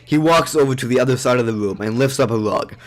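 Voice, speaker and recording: A man with a medium-pitched voice serves as narrator. He reads scripted audio drama action and scene descriptions. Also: fast talker.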